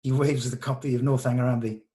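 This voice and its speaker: A man's voice: medium pitch, level tone, fast talker, warm tone. A man giving scripted narration, reading out the stage directions at a script table read.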